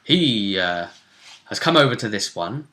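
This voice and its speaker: A man's voice: lowish voice, big pitch swings, measured pace, pushed and bright. A man hosting a casual, pundit-style discussion of a role-playing game, explaining things chattily and checking for agreement. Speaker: host and game master.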